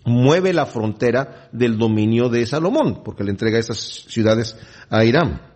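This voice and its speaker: A man's voice: mid-range voice, animated, normal speed, darkish tone. A man preaching a Bible lesson who reads scripture aloud and then explains it in conversational asides. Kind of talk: Bible teaching sermon.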